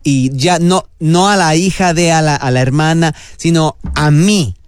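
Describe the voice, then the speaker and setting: Chatty high-voiced male radio host. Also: measured pace, smooth. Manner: playful, chatty. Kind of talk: morning radio show banter.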